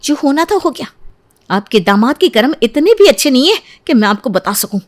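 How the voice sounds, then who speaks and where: A woman's voice: high voice, big pitch swings, brisk, voice pushed up. A woman voicing a hurt, stubborn young wife in a story, resolute and aggrieved. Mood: hurt, defiant.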